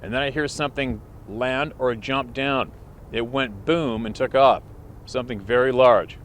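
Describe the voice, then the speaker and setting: A man's voice: medium pitch, a bit bright, slight background noise. A man hosting a channel, reading a listener's letter aloud as a plain story, recorded outdoors. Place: outdoors by the water.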